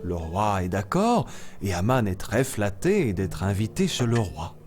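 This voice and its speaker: Animated, kindly cartoon storyteller. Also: male voice, on the low side, measured pace. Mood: storytelling. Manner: expressive, teacherly. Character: Kingsley, kindly jungle animal storyteller for children. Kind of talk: children's story narration with acted dialogue. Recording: loud music behind.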